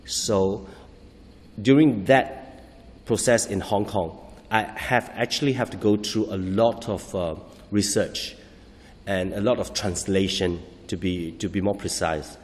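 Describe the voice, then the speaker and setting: Halting low-voiced actor, echoing hall. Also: male voice. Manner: halting, searching for words, frequent restarts. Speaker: stage actor. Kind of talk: interview answers. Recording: long hall reverb.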